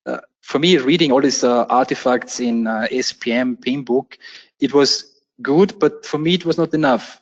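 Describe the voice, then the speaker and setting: A man's voice: medium pitch, highs cut off. A man from Austria with an Austrian German accent, a German native speaker talking casually and hesitantly as a guest on a webinar, with many fillers and restarts. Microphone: webinar call audio.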